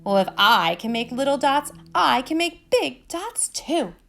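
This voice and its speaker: A woman's voice: high voice, very animated, unhurried, gravelly, a bit bright, faint music behind, voice pushed up, exaggerated voice. A woman reading the part of a determined young schoolgirl painter in a storybook, expressively. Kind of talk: storybook read-aloud.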